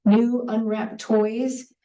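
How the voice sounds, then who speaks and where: A woman's voice: a little high, flat delivery, extremely slow, mellow, distant mic. A woman board member giving announcements at a meeting, chatty and hesitant, stumbling over a word now and then.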